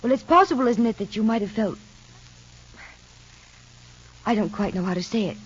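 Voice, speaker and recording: An actress playing a worried older matriarch in a 1950s radio soap, with an anxious, probing manner. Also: female voice, mid-range voice, expressive, normal speed, a little hiss or hum, close to an everyday voice. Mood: worried, hurt. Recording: muffled old radio recording.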